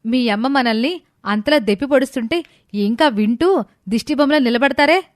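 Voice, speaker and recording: A woman playing a sharp-tongued, spiteful daughter-in-law who speaks with sarcasm and scorn. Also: high-pitched, moderate pace, no room sound, studio quality, lightly acted. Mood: scornful.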